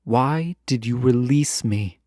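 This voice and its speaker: A man playing a lovesick, proud fantasy ruler in a romantic audio drama, speaking formally, earnestly and with hesitant pauses. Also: medium pitch, warm tone, no room sound, studio quality, natural voice. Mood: exasperated, insistent. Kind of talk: scripted romantic audio roleplay, close and intimate.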